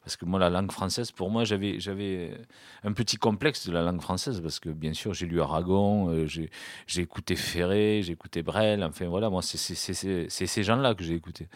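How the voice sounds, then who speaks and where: A man's voice: deep voice, fairly even intonation, a little fast, no room sound. A man around forty, a singer-songwriter guest in a small studio, talking casually and hesitantly with many restarts. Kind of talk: casual interview talk.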